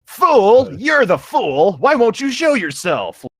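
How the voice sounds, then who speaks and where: A man playing a brave, indignant young sword-wielding hero who calls out loud, dramatic challenges in a fan fiction reading. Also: high-pitched, sing-song, takes it slowly, dry recording, studio quality, pitched above the performer's own voice, exaggerated voice. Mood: defiant.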